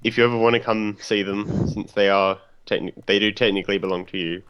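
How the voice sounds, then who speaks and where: A man with a lowish voice takes part in a tabletop game, speaking casually and partly in character. The recording is dull and muffled. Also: steady tone, a little fast, clean tone.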